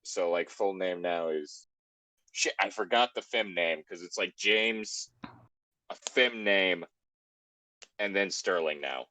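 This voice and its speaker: A man's voice: deep voice, slow pace, long pauses, bright, forceful tone, no echo. A man hosting an online show in casual, rambling banter, self-deprecating and prone to swearing.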